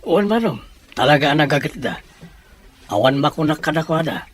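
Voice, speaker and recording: A man's voice: on the high side, animated, a little fast. A man playing a humble, polite younger brother in a radio drama who speaks deferentially to his older sibling. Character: humble younger brother Romeo, a proud father.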